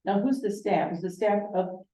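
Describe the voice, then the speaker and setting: A woman's voice: medium pitch, moderate pace, mellow. A woman in a committee meeting asking questions and checking details of a draft. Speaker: committee member. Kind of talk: casual committee discussion. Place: county meeting room. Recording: echoey meeting room.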